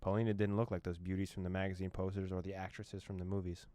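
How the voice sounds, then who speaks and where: A man reading a visual novel's text aloud as a streamer, with quick joking asides. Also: sits low, little pitch movement, dark tone, dry recording. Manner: reads dialogue aloud, breaks off with joking asides and laughs. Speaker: gaming streamer. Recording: faint game music behind.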